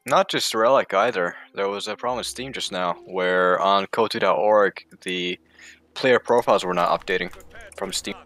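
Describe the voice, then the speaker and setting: A man's voice: low voice, animated, moderate pace, a bit bright. A man co-commentating a strategy game match in a chatty way, wandering into rambling asides. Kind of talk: casual co-commentary.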